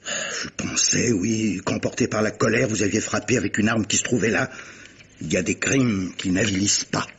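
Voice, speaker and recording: An actor playing a courteous, gallant army captain in a vintage French radio mystery drama, formal and husky. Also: male voice, fairly low pitch, normal speed, a bit bright, exaggerated voice. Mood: grave, pleading.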